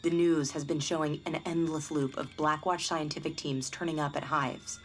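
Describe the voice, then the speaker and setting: An actress playing a capable scientist, giving urgent, businesslike instructions in a game cutscene. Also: female voice, sits low, steady tone, normal speed, soft background music, lightly acted. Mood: urgent, purposeful. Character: urgent, capable woman scientist guiding a fugitive. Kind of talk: dramatic game cutscene briefing.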